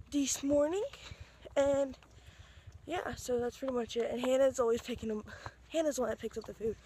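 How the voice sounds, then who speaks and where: A teenage girl chatting to her phone camera in a casual, giggly vlog, with a raspy voice after a game. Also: high-pitched, slight background noise. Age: teens. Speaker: vlogger. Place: outdoors by a road, then a classroom, then a car at night. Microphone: phone held at arm's length.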